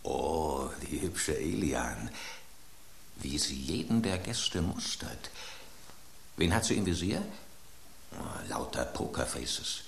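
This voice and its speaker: A man's voice: very deep voice, lively intonation, slow, vocal fry, pauses often, reverberant, slight background noise, exaggerated voice. An actor voicing an aging male loaf of bread, an urbane, ironic epicure, in a radio play. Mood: hushed.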